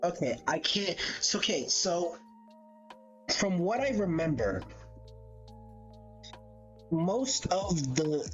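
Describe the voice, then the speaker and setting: Lively, unhurried streamer on a muffled mic. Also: medium pitch. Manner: rambling, thinking aloud, swearing casually; amused, laughs often. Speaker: live streamer. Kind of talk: casual livestream commentary, ranking game characters. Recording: dull lo-fi mic with background music. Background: background music.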